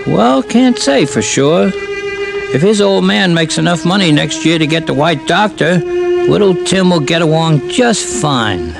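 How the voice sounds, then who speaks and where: An actor playing a folksy, needling Christmas spirit in an old-time radio drama, casual and slangy. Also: male voice, fairly high pitch, big pitch swings, a little slow, vocal fry, exaggerated voice. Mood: wry, needling. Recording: vintage radio recording, music behind.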